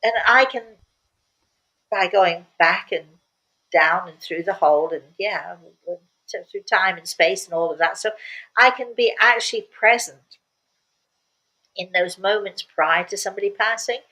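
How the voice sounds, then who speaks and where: Older female medium, mid-pitched, chatty storyteller who leans on key words by repeating them. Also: measured pace, long pauses, sharp tone, poor audio. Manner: emphatic, repeats words for emphasis. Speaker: spiritual medium telling stories and answering questions. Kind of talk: casual storytelling talk.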